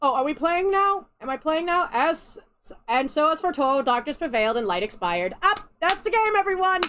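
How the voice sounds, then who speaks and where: A woman's voice: sits high, very animated, moderate pace, fairly bright tone, a little hiss or hum. A young woman streaming a video game, giving sarcastic, ranting commentary and swearing freely over the cutscenes. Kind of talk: casual let's-play commentary. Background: game music and cutscene audio.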